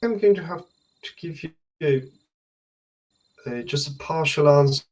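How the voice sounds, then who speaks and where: A man in his thirties giving technical explanations as an interview guest, hesitant and pausing mid-sentence to think. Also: fairly high pitch, a little mellow. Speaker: loudspeaker research engineer, interview guest. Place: indoor room at home, on a video call. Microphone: video call.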